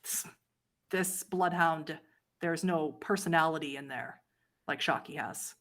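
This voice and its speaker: A woman's voice: deep voice, unhurried, a bit bright. A woman running a tabletop roleplaying game as game master, narrating it like a dramatic story.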